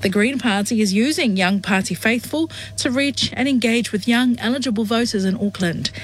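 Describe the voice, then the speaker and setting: A woman reading a radio news bulletin in a steady, clear newsreader delivery. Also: on the high side, normal speed, low-quality recording. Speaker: news presenter.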